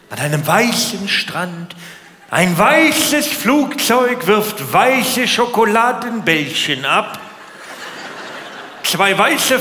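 High-pitched, animated male stand-up comedian. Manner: exaggerated indignation, rhetorical questions to the audience. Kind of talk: stand-up comedy routine with rants. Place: large indoor live comedy venue. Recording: echoey hall, noisy live audience.

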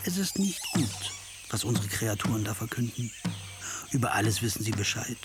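A man with a low voice reads scripted mythic narration for a radio play. His delivery is solemn and measured, like formal storytelling, with music prominent behind him. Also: expressive. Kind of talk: scripted narration of a creation myth in a radio drama.

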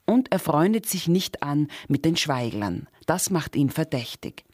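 A man presenting on radio, reading a scripted introduction in a measured, factual, literary manner. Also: a little high, very animated, dry recording, studio quality.

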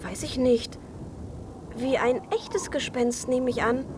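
A woman's voice: high voice, slow pace, background noise. A woman playing a plucky young chick in a children's radio play, with a practical, sceptical manner. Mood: confident.

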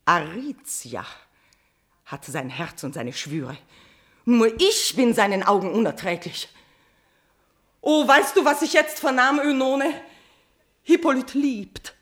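A woman's voice: fairly high pitch, sing-song, slow, long pauses, fairly bright tone, exaggerated voice. An actress playing a passionate queen tormented by guilty love, declaiming dramatically in a classical verse radio tragedy. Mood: anguished, jealous.

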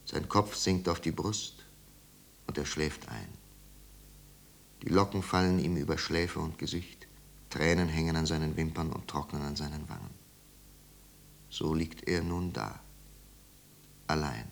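A man narrating a 1950s literary radio drama as a grave, sombre storyteller with deliberate pauses. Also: very deep voice, gravelly, exaggerated voice. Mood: grave, sorrowful.

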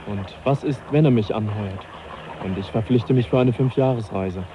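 An actor playing an uneasy, dryly ironic young naturalist in a radio play. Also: male voice, lowish voice, moderate pace, noisy background, natural voice. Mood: wry. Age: young adult. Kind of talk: 1980s radio play acting.

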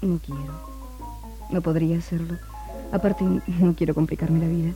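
A woman's voice: on the low side, steady tone, moderate pace, lightly acted. An actress playing a determined, guarded young woman in a 1960s Argentine radio drama. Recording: 1960s radio archive, music behind.

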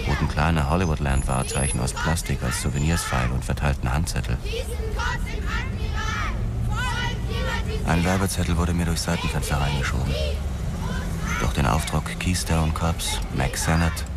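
A man's voice: rumbling low, very noisy. An actor playing a hard-boiled police detective who narrates his own story in a radio play, brooding and terse. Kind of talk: scripted first-person radio play narration.

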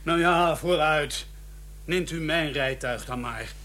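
A man's voice: a little high, slow pace, sharp tone. A man acting a pompous, self-assured village vicar with little patience, firm and formal, in a radio drama.

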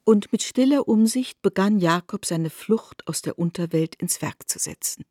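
A woman delivering scripted literary narration for a radio drama, with a husky voice. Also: mid-range voice, normal speed, mellow, no room sound, studio quality.

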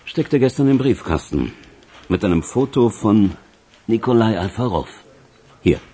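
A man's voice: on the low side, lively intonation, unhurried, dark tone, breathy edge, exaggerated voice. A man playing a matter-of-fact police investigator in a radio crime drama, speaking in clipped statements. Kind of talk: German radio play acting.

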